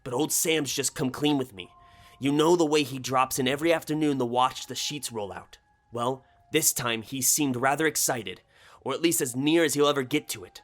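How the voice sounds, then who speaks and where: A man voicing an anxious, excitable male engineer in a story, speaking in an agitated, emphatic way. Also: mid-range voice, moderate pace, voice pushed up, lightly acted. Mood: excited. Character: anxious, excitable younger male engineer breaking urgent news. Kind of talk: short story character dialogue.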